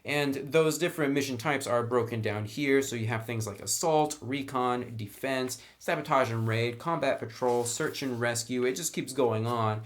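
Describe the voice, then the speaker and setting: A man's voice: medium pitch, normal speed, few pauses. A man giving a casual, conversational review of a tabletop game book, speaking with enthusiasm about the material.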